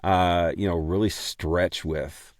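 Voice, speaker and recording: A man co-hosting a podcast in casual chat, speaking haltingly with a self-deprecating manner. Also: low voice, fairly even intonation, no echo, clean studio sound. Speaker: podcast co-host and amateur voice actor.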